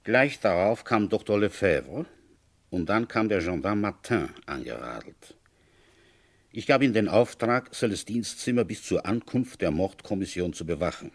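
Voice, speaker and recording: An actor playing a weary police inspector who narrates a radio drama in the first person, husky, dry and terse. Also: male voice, on the low side, lively intonation, moderate pace, dry recording. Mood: reflective, terse. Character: feverish, weary male police inspector recounting his case.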